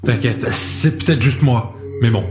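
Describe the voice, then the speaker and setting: A wary young man in an audio drama, played by an actor. His speech is colloquial and brooding, with a middling pitch. The sound is roomy, with music behind. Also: measured pace, darkish tone, natural voice. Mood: uneasy. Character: wary young male rebel, suspicious, brooding. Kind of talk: French audio drama acting.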